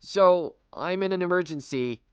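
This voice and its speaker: A man's voice: high voice, moderate pace, a little mellow, clean tone, no room sound, dull audio, natural voice. A man voicing a kind, self-assured teenage schoolboy who narrates his own story in an anime-style dub, earnest and a little smug. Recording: music bed behind voice.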